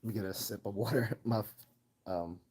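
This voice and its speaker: A man hosting a casual livestream Q&A, chatting and rambling as he loses his voice and keeps clearing his throat. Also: lowish voice, moderate pace, darkish tone. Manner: rambling, chatty, laughs often. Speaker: livestream host.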